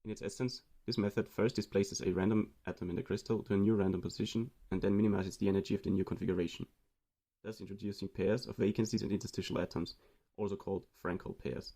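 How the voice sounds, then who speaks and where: A young man from Austria giving a read-aloud presentation of a student research project in a steady explanatory way. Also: lowish voice, fast talker.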